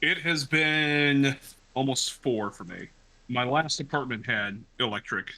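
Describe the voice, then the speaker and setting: A man's voice: mid-range voice, measured pace, pushed and bright, poor audio. A man who works in radio, cooking along in his home kitchen and chatting in a rambling, self-deprecating way. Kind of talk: casual chat while cooking. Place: home kitchen with gas stove.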